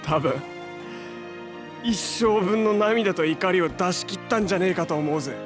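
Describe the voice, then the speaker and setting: An actor playing a hot-blooded, defiant young hero in an audio drama, brash and emotional with rough, slangy delivery. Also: male voice, high-pitched, normal speed, music in the background, natural voice. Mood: fierce, emotional. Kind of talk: Japanese audio drama acting.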